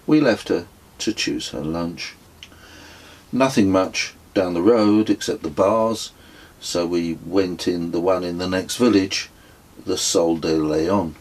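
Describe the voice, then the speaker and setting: A man narrates a travel memoir in a very deep voice. His delivery is slow and deliberate, like a storyteller taking his time. The tone is distinctly husky, suited to a scripted audiobook. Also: a little mellow. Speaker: author reading own travel memoir.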